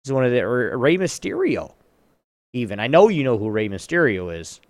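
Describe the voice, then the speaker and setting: A man's voice: medium pitch, big pitch swings, normal speed, no echo. Blunt, rambling man in dry, close sound. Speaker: podcast co-host. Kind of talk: casual chat. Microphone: online voice chat mic.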